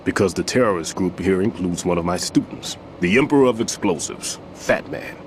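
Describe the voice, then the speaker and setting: An actor playing an aging, retired bomb disposal expert in a video game, a weary mentor who explains things in a measured, authoritative way. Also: male voice, sits low, slight background noise, lightly acted. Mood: rueful. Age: older.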